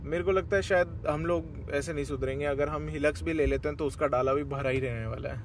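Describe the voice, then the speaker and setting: A man's voice: fairly high pitch, steady tone, fairly quick, slight background noise. A young man, a travel vlogger, talking casually to the camera he holds at arm's length, rambling and slipping English phrases into his speech. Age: young adult. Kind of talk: casual vlog talk to camera. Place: inside a car on a road trip; hotel room, indoors. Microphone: camera held at arm's length.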